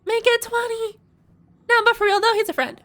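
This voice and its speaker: A young adult woman giving casual, playful and expressive reaction commentary as a game streamer. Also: very high voice, moderate pace.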